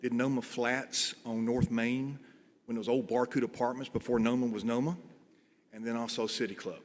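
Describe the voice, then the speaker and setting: A man's voice: medium pitch, flat delivery, moderate pace. A middle-aged man giving informal testimony at a public hearing as a property developer. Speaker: real estate developer giving public comment. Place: large meeting room. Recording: echoey hall, some background noise.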